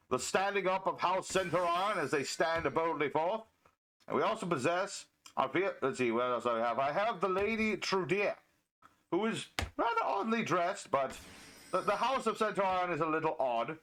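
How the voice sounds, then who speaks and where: A man's voice: high-pitched, expressive, moderate pace, a bit bright, a little hiss or hum, low-quality recording. A man playing a pompous, chivalrous medieval knight, commentating on a card game in mock-archaic speech. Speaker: gaming video host and player.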